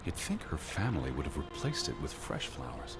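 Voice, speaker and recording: An actor playing a fretful, bookish, reluctant middle-aged scholar in a 1920s mystery video game, fussy and hesitant. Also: male voice, a bass voice, huge pitch swings, brisk, music in the background, exaggerated voice. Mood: puzzled, studious.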